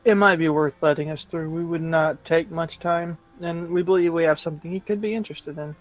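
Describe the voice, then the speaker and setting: A man's voice: fairly high pitch, steady tone, fairly quick, faint music behind, poor audio. A man talking and roleplaying casually as a player in a tabletop game.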